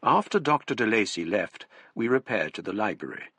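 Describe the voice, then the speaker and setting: An actor playing a literary gentleman narrating an eerie tale in a radio drama, with a measured, formal manner. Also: fairly low pitch, sing-song, dry recording, full character voice. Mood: reflective, ominous. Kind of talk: scripted first-person radio drama narration.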